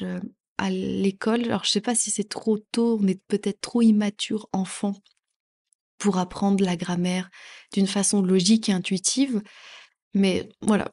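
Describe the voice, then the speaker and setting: A woman from France hosting a podcast as a French teacher, talking casually with many fillers and frequent laughs. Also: medium pitch. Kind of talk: casual educational podcast talk.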